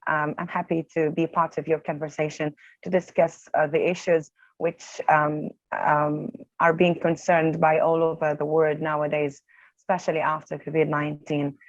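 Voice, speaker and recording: A woman's voice: sits low, flat delivery, moderate pace, no echo. A woman from Palestine, an English teacher, introducing herself formally and carefully on a call. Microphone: video call. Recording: dry, dull lo-fi call line.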